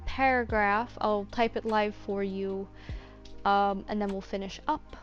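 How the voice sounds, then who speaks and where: A woman's voice: mid-range voice, fairly even intonation, unhurried, dull audio, soft background music. A woman talking casually and unscripted through a tutorial, explaining things in a rambling way. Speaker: voice actor giving a tutorial.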